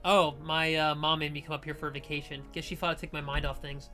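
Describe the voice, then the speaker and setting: A woman voicing a reluctant, uneasy young man just out of high school in a visual novel, hesitant and downbeat. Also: little pitch movement, fast, pushed and bright, soft background music, lightly acted.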